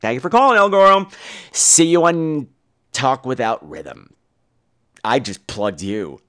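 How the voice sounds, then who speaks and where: A man's voice: mid-range voice, expressive, measured pace, pushed and bright. A man hosting a podcast with casual comic commentary, in a campy, sardonic, theatrical, foul-mouthed manner. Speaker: podcast host.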